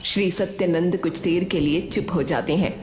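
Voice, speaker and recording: A woman's voice: on the low side, normal speed, darkish tone, reverberant, slight background noise. A woman reading scripted narration as the storyteller of a moral tale.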